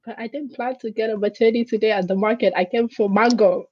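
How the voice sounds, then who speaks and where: A woman whose first language is Greek, a guest in an interview who tells stories in an animated way and acts out the voices she quotes. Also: a little high, fairly quick, slightly rough, no room sound, dull audio. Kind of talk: conversational interview.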